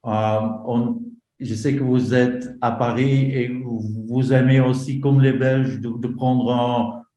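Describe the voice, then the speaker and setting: A man with a Flemish Dutch accent speaking French as a non-native, giving a halting conference talk full of fillers as an association representative. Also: medium pitch, warm tone, roomy sound, poor audio. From northern Belgium. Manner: halting, many fillers and restarts.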